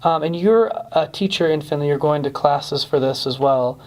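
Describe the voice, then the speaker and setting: A man's voice: on the high side, normal speed, a little mellow. A man hosting a weekly interview series, chatty and casual, with lots of fillers and restarts. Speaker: host.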